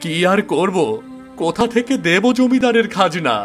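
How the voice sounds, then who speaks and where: A man voicing a poor, desperate young farmer in an animated folk tale, plaintive and protesting. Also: sits high, moderate pace, soft background music, pitched above the performer's own voice. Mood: despairing.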